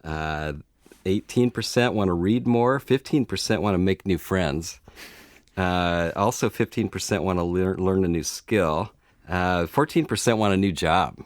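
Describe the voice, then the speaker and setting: A man's voice: sits low, measured pace, dry recording, clean studio sound. A middle-aged man with an American accent co-hosting a talk show in a casual, conversational, agreeable way. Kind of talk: casual chat.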